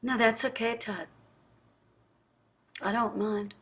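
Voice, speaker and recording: Slow, restrained wealthy wife, acted by a woman. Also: fairly high pitch, fairly even intonation, fairly bright tone, breathy edge, heavily acted. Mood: subdued. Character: wealthy, restrained, quietly bitter wife named Betsy. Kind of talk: staged play reading over video call.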